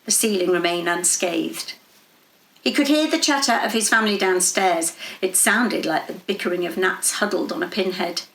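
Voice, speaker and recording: A woman reading literary fiction aloud in a measured, dramatic storytelling manner. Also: on the low side, creaky, fairly bright tone, reverberant, poor audio. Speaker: story reader. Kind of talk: scripted literary narration.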